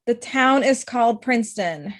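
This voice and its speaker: Woman playing a blunt ex-girlfriend, higher voice, dry sound. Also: lively intonation, normal speed, no room sound, natural voice. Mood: hurt, indignant. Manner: blunt, confrontational. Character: Amita, blunt, hurt ex-girlfriend, thirty-five, sharp-tongued lawyer. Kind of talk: live table read of a comedy-drama script.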